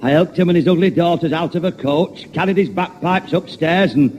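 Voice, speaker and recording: A man's voice: a little high, brisk, a little mellow, natural voice. A man with a Northern English accent playing a grumbling, touchy palace footman in a radio comedy. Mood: officious. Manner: grumbling, gruffly officious. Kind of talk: 1960s radio pantomime comedy. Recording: dull, noisy 1960s radio.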